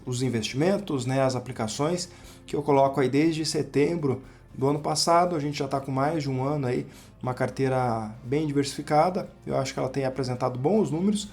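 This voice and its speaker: A man with a Brazilian accent, hoarse from a cold, giving relaxed, chatty commentary on his investment portfolio while sharing his screen. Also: medium pitch. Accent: Brazilian Portuguese. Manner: relaxed, chatty, frequent "né" and "pessoal". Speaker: finance channel host.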